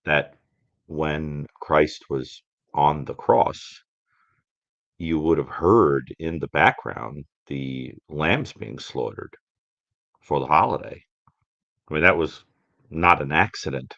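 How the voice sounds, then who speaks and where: A man speaking reflectively as a guest expert in a live-stream conversation, musing and emphatic, halting and repeating phrases. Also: very deep voice, creaky, a bit bright, no room sound, dull audio.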